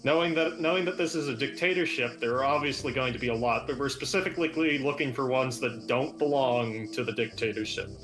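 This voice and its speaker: A man's voice: medium pitch, a little fast, hardly any pauses, a bit bright, soft background music, poor audio. A man role-playing a private military contractor, blunt and swearing, drawling out long lists. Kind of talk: in-character game roleplay.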